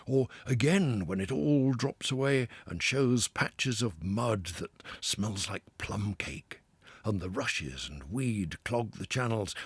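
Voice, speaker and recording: A man voicing a hearty, self-assured male water rat who talks loudly and with relish. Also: on the low side, slightly rough, dry recording, pitched above the performer's own voice, heavily acted. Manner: loud, confident, lively, with chuckles.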